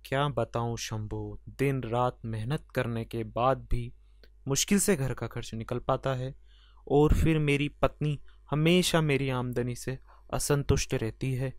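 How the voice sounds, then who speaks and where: An actor playing a hardworking, weary farmer husband in a cartoon fable, with an earnest, gently chiding manner. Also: male voice, medium pitch, steady tone, a little slow, no room sound, studio quality, natural voice. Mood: dejected.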